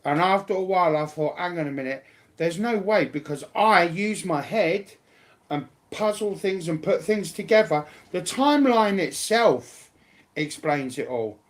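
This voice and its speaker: Casual, sweary, mid-pitched speaker. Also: animated, a little slow. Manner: sweary, informal, repeats phrases. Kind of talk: casual chat.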